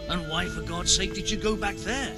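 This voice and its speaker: Actor as frank, high-voiced medieval townsman. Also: normal speed, loud music behind the voice, close to an everyday voice. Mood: incredulous. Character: blunt, questioning medieval townsman. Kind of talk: medieval video game cutscene acting.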